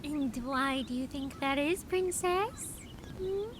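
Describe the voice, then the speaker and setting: Woman acting a sly bossy confidante, animated, teasing emphatic questions, fan audio drama, slightly noisy recording. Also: sits high, slow pace.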